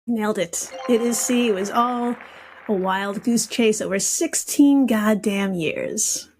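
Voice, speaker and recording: A woman's voice: mid-range voice, slow, a little mellow, a little hiss or hum, rough recording. A giggly, irreverent woman reading out a comedic quiz casually as a podcast guest.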